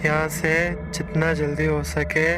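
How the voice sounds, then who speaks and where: Guy doing stern village man in a horror cartoon, slightly high voice, monotone, music behind. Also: unhurried, lightly acted. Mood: grave, warning. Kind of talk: scripted cartoon dialogue.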